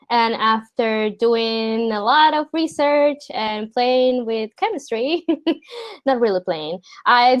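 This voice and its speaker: A woman from Puerto Rico whose first language is Spanish, reviewing a design portfolio over a video call in a friendly manner, often laughing. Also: on the high side.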